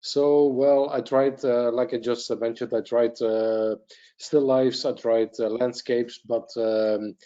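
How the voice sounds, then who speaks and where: A man from Belgium gives an earnest, halting, casual comment on an online call. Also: medium pitch, level tone, mellow. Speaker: audience participant, photographer. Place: online video meeting. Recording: dull online-call microphone.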